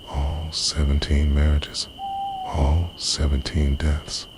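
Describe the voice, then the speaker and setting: A man playing a possessive, menacing immortal lover in an intimate, slow, breathy ASMR roleplay monologue. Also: full character voice. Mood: ominous, possessive. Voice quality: very deep, breathy whisper.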